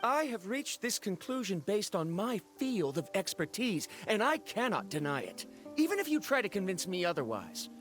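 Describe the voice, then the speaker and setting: A man's voice: high-pitched, sing-song, normal speed, music in the background. A man voicing a frank, stubborn, learned scholar in a video game, formal, precise and argumentative. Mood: cold, insistent. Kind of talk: video game character acting.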